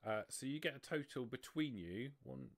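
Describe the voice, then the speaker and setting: A man's voice: medium pitch, sing-song, moderate pace, crowd noise. A man running a tabletop roleplaying game, casually narrating and talking through rules as game master.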